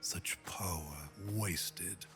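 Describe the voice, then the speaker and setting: An actor playing a grim, menacing villain with a gravelly, whispery voice in game voice lines. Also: sits low, very slow, a bit bright, faint music behind, exaggerated voice. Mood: scornful.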